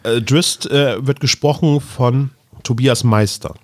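A man's voice: medium pitch, slow pace, no room sound. A man co-hosting a podcast in a casual, informal fan chat. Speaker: podcast co-host.

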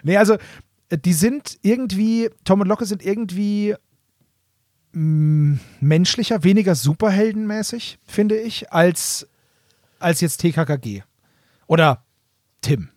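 A man's voice: high-pitched, animated, a little slow, pauses often, darkish tone, no echo, clean studio sound. A man co-hosting a podcast in informal, casual chat, rephrasing his thoughts as he goes. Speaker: podcast co-host. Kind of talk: casual chat about nostalgic audio dramas.

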